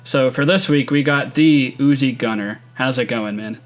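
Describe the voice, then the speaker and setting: A young man hosting a casual online interview, easygoing and quick to agree with his guest. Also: mid-range voice. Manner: easygoing, affirming. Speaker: host, interviewer.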